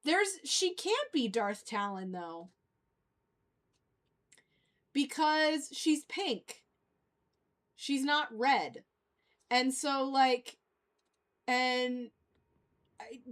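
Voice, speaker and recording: A woman hosting a livestream in a casual, rambling fan chat, halting and often trailing off mid-thought. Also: sits high. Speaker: livestream host.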